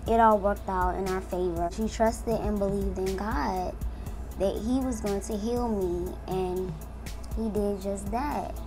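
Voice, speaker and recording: A young woman in her twenties talks to her viewers in a chatty, earnest way, like a beauty vlogger sharing her story. Her voice sits at a middle pitch. Soft music plays faintly in the background. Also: fairly even intonation, a little slow. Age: 20s. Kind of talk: casual testimony while doing makeup.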